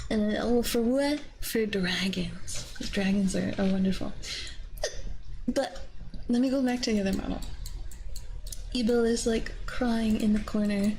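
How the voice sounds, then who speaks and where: A young adult woman chatting casually on a livestream, rambling and excitable with a giggly manner, close on a binaural microphone. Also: on the high side, slight background noise, low-quality recording. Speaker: VTuber livestreamer. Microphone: close binaural ASMR microphone.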